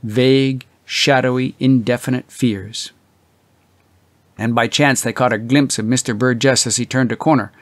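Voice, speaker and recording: A man narrates a classic story in a measured, theatrical way. His pitch sits in the middle range, and he stretches words for emphasis. The sound is dry, with almost no room around it. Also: dry recording. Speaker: audiobook narrator. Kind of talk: scripted literary narration.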